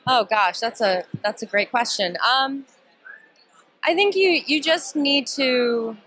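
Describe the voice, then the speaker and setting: A woman's voice: high-pitched, slow, a bit bright, no room sound. A woman in her thirties, a film producer, answering red carpet questions in a thoughtful, earnest way. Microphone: handheld interview mic.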